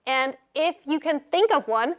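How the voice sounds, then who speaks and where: A woman hosting a panel interview, upbeat and animated. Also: high-pitched, normal speed. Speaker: host.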